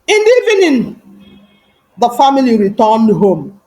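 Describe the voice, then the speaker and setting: A woman storyteller with a fairly high voice. She narrates a moral folktale very slowly, with solemn emphasis and dramatic, deliberate phrasing. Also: wildly swooping pitch, mellow, rough recording.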